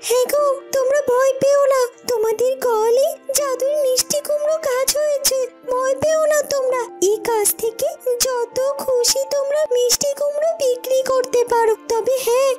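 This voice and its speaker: A woman's voice: steady tone, normal speed, over music. A woman voicing a kindly, earnest magical pumpkin that gives solemn advice in a cartoon fairy tale. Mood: kindly warning. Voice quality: very high-pitched. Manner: earnest, admonishing.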